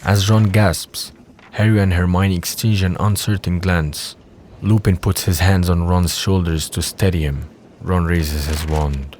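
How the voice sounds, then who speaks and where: A man's voice: sits low, steady tone, a little slow, darkish tone, slight background noise. A man reading scripted scene narration for an audio drama.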